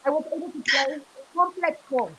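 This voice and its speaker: A woman's voice: high-pitched, fast. A woman doing comedy character acting in a sketch clip, with a very noisy played-back recording. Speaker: comic actor in a sketch clip.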